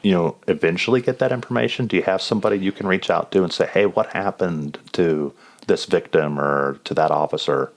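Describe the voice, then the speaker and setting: A man's voice: low-pitched, fairly quick, gravelly. A man hosting an informal, reflective interview as a chaplain and counselor, asking questions conversationally. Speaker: podcast host, police chaplain and counselor.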